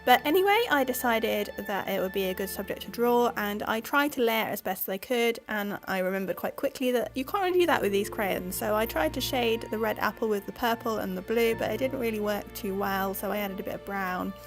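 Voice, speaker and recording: Woman, art vlog host with a cold, gravelly and croaky, chatty self-deprecating narration, soft music underneath. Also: mid-range voice, lively intonation, brisk, few pauses. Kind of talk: casual voice-over narration.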